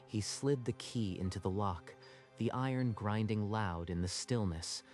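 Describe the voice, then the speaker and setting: A man's voice: lowish voice, a little slow, darkish tone. A man reading scripted, dramatic story narration for a manga dub. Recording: music behind the voice.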